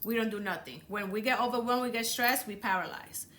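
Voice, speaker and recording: A woman's voice: fairly high pitch, fairly quick, a bit bright. A woman from the Dominican Republic with a Dominican accent, hosting a motivational podcast and coaching listeners earnestly, often repeating words for emphasis. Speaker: podcast host.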